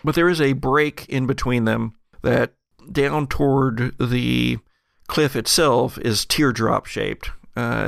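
A man working as game master of a tabletop roleplaying podcast, describing scenes in a halting, deliberate way. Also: medium pitch, creaky, a bit bright, dry recording, studio quality.

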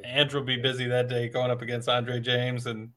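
Long-winded, mid-pitched sports talk host. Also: male voice, completely flat delivery, brisk, pushed and bright. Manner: long-winded, wordy questions. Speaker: podcast co-host. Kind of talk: casual talk show hosting.

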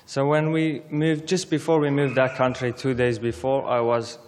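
A young man from Syria, telling his personal story in halting as a guest speaker in a church. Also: mid-range voice, little pitch movement, darkish tone, a little hiss or hum. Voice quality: halting non-native English, simple phrasing. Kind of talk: personal testimony speech. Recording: long church reverb.

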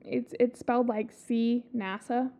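A woman's voice: a little high, little pitch movement, measured pace, dark tone. A woman co-hosting a casual podcast, sounding sick with a scratchy voice, swearing freely and stumbling over foreign names. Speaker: podcast co-host. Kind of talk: casual chat reading a story.

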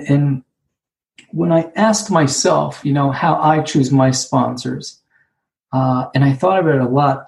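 Man, Hebrew accent, halting earnest share, mellow dark voice, sitting alone at a remote talk. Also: a little high, gravelly, poor audio. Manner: halting, repeats words, earnest. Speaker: recovery program speaker. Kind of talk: personal share at an online conference.

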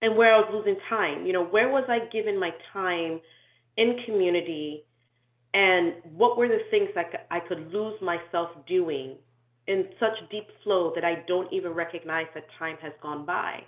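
A woman who grew up in Nigeria, talking through her life story in an interview, thoughtful and measured, with frequent ums and restarts. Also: lowish voice. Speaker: inclusion and diversity consultant, guest. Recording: tinny echoey phone-like call audio.

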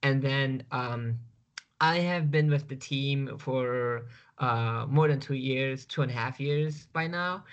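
A man's voice: medium pitch, muffled recording. A man from Turkey with a Turkish accent, a guest speaking over a video call, hesitant and full of fillers.

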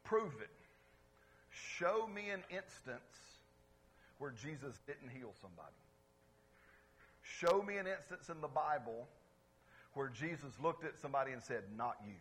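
Male pastor, fairly high, bright and husky; animated sermon, calls on the congregation. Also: measured pace, pauses often. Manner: swings between loud exclamations and quiet asides. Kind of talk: lively conversational sermon. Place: church service before a congregation.

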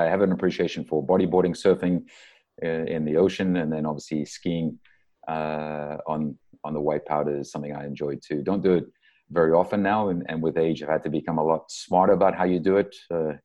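Middle-aged male, South African accent, very deep and soft-edged tone, casual reflective interview guest. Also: steady tone, a little fast. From Durban, South Africa. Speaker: interview guest, soccer coach.

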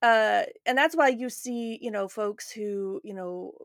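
A woman's voice: medium pitch, a little slow, no echo, studio quality. A woman hosting a podcast in a casual, reflective conversational style. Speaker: podcast host.

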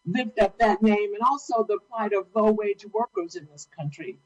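A woman speaking as a guest on a labor radio show, answering at a measured pace and repeating words as she gathers her thoughts. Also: mid-range voice, big pitch swings, husky, dull audio.

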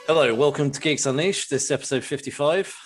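A man with a London accent co-hosting a pop culture podcast in relaxed chat, often restarting his sentences. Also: on the high side, quick pace, loud music behind the voice. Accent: London English. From England. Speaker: podcast co-host. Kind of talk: casual chat. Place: home, late at night. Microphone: remote call mic.

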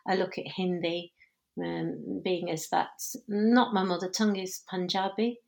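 A woman's voice: lowish voice, little pitch movement, unhurried, gravelly, darkish tone. A woman actor being interviewed, talking in a chatty way, laughing often and correcting herself. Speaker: actor and dancer guest. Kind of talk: conversational interview.

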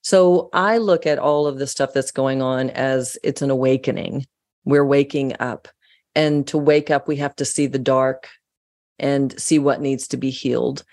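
A woman from the United States, a guest in an interview, telling stories in an earnest, animated way. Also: very deep voice, unhurried. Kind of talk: casual interview talk.

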